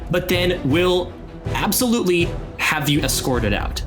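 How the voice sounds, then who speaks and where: A man's voice: high voice, animated, a little slow, music in the background, pitched above the performer's own voice. A man voicing a jaded woman ex-ghost-hunter in a tabletop game, curt, sardonic and profane.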